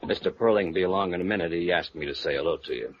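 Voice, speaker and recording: A man's voice: sits low, very fast, a little hiss or hum, natural voice. A man playing a slangy, easygoing private detective agency operative in a 1950s radio noir drama. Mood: casual. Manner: casual, streetwise slang. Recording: muffled vintage radio drama recording.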